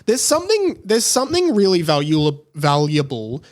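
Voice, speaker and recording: A young man with an Australian accent talks as a podcast host in a voice pitched a little above average. He chats casually and informally, rambling along with a self-deprecating streak. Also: huge pitch swings, moderate pace. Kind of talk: casual solo podcast chat.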